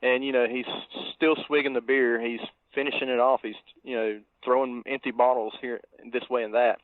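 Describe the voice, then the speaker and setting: A man co-hosting a podcast over a tinny line, casually discussing a film in a halting, thinking-aloud way. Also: mid-range voice, level tone, no room sound. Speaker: podcast co-host. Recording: tinny, dry phone-like line.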